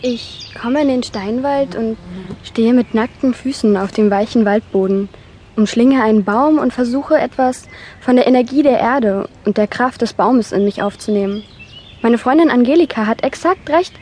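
A woman's voice: fairly high pitch, normal speed, a little mellow, husky. A woman playing a curious, dreamy young girl in an audio drama, insistent and earnest. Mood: earnest, enthusiastic. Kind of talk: German audio drama acting.

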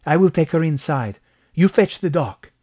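A man's voice: on the high side, lively intonation, moderate pace, a little mellow, breathy edge, no echo, clean studio sound, voice pushed up. A man voicing a chivalrous, concerned man in a western audiobook. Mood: firm. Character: concerned, chivalrous Mexican man in the Old West. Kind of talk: western audiobook character acting.

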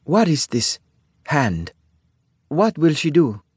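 A man voicing a curious, forthright young Italian immigrant woman in a storybook, with an Italian accent and halting broken English. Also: fairly high pitch, very animated, a little mellow, husky, dry recording, studio quality, pitched above the performer's own voice, exaggerated voice. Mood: inquisitive.

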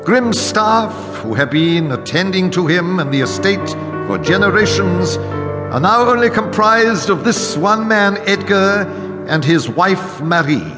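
An actor playing an ominous, theatrical narrator of a gothic radio drama. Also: male voice, sits high, lively intonation, unhurried. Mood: solemn, ominous. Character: theatrical storyteller of a gloomy manor tale. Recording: long reverb, music underneath. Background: music.

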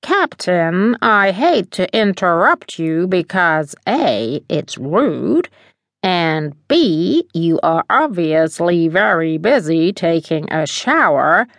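A woman's voice: on the low side, sing-song, slow, dry recording, full character voice. A woman voicing a polite, fussy spaceship computer that talks in a prim, itemizing way.

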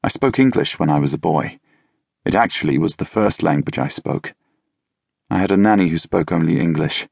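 A man narrating a novel in the first person, with a wry, measured, deadpan delivery. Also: low-pitched, creaky, slightly rough, dry recording, studio quality. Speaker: audiobook narrator. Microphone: close studio mic.

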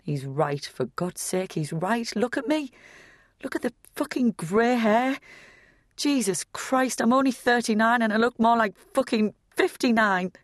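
A woman reading the part of a haggard 39-year-old mother, her voice shaky and hushed as she nears tears. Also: fairly high pitch, animated, a little slow, dry recording, studio quality, voice pushed up. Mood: despairing. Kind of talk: audiobook character voice.

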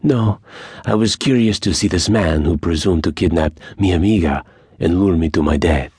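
A man performs a bandit leader for a western storybook. The voice is smooth and sociable with menace underneath, lifted in pitch, husky at the edges and recorded very dry. He speaks deliberately, with a shrewd, mocking slant. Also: darkish tone, no room sound. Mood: amused, curious.

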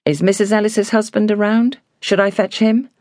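Woman acting a worried, dutiful gentlewoman. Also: medium pitch, fairly even intonation, moderate pace, no echo, studio quality, voice pushed up, natural voice. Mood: worried, hurried. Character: anxious, dutiful young gentlewoman, squire's daughter, taking charge.

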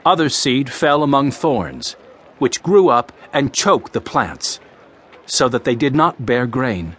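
An actor playing an impassioned male teacher preaching parables in a dramatized audio Bible, with declamatory, deliberate phrasing. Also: medium pitch, sing-song, a bit bright, breathy edge, exaggerated voice. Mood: proclaiming, storytelling.

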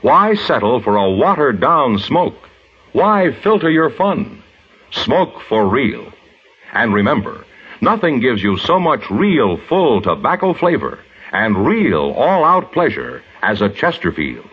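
Very husky, dramatically animated male announcer. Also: unhurried. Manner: punchy, emphatic sales pitch. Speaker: commercial announcer. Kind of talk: 1950s radio cigarette advertisement. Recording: muffled old radio recording.

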